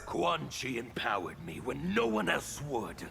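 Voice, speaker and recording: An actor playing a scarred, fanatical revolutionary in a fighting game, gravelly and grandiose in his declarations. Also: mid-range voice, huge pitch swings, measured pace, sharp tone, faint music behind, heavily acted. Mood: righteous anger. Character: scarred, fanatical male revolutionary agent of chaos. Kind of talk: theatrical fighting-game villain acting.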